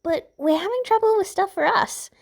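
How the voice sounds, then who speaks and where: A wild, proud princess of thirteen, played by a woman reading aloud, pitched high and girlish. She is defiant and quick to take offence in a fantasy audiobook. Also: gravelly. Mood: glum, earnest. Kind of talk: fan fiction audiobook character voice.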